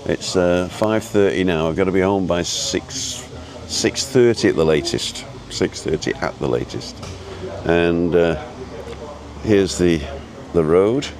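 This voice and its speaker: Very deep-voiced man, chatty live vlogger. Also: a crowd in the background. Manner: easygoing, chatty, halting as he reads and answers viewer comments. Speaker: live-streaming vlogger and host. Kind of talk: casual rambling live vlog chat. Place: outdoors at a restaurant overlooking the road and ocean.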